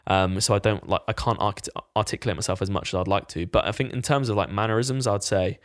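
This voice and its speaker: A man co-hosting a podcast, chatting casually and quickly, rambling a little and swearing freely. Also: on the low side, steady tone. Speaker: podcast co-host, fitness coach.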